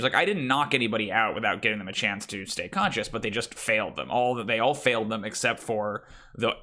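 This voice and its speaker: Bright-toned man planning his tabletop game. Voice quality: deep voice. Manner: rambling, thinking aloud with many fillers. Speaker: game master. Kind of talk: casual prep talk about running a tabletop campaign.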